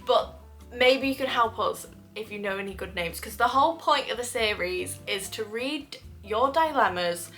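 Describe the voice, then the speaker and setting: A woman's voice: a little high, lively intonation, moderate pace, bright, forceful tone, faint music behind. A woman with a nasal voice from a cold, hosting a chatty video, reading posts aloud and laughing often. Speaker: host.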